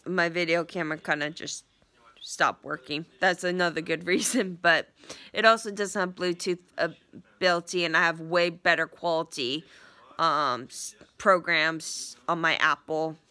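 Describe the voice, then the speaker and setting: A woman's voice: on the low side, bright, forceful tone, smooth. A young woman with a stuffy, congested voice giving a rambling casual vlog update, recorded on a phone at arm's length in a small living room. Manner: rambling, many ums and restarts. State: stuffy, congested from a sinus infection. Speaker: vlogger. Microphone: phone front camera at arm's length.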